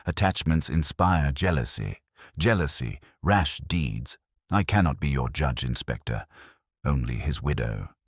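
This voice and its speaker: Male narrator as brittle proud widow, formal, guarded, very low husky voice, mystery audiobook. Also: lively intonation, unhurried, no room sound, studio quality, full character voice. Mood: brittle, restrained.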